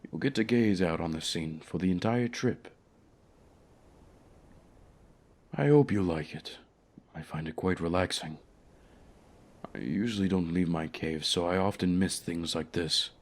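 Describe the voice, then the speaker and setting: A man voicing an ancient, lonely, haughty male dragon in a fantasy audio roleplay, speaking in a formal, measured, courteous way. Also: deep voice. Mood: proud, pleased.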